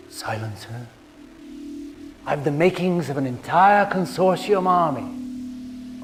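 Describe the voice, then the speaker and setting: Slow, gloating villainous scientist. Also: male voice, fairly high pitch. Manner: taunting, melodramatic. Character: gloating mad villain scientist, theatrical and menacing. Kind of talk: video game cutscene acting.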